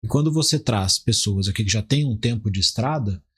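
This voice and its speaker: A man's voice: fairly low pitch, expressive, fairly quick, breathy edge. A Brazilian man in his forties giving conversational, explanatory interview answers as a podcast guest, often restarting his phrases. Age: 40s. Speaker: podcast guest, criminal defence lawyer.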